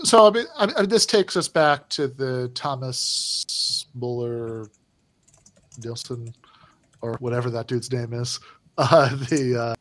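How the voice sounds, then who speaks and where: A man's voice: mid-range voice, no room sound. A man in casual political discussion, talking in a rambling way with many fillers and restarts.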